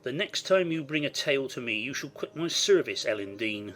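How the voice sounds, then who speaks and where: A woman voicing a stern, anguished gentleman master of the house in a formal, reproachful manner, for a dramatized classic novel. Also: a bass voice, lively intonation, brisk, voice pushed down. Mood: cold reproach. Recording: music behind the voice.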